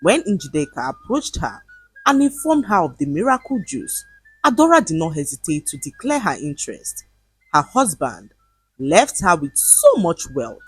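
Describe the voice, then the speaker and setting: A woman narrating a folktale from a script in an expressive, dramatic storytelling manner. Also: lowish voice, slightly rough, poor audio.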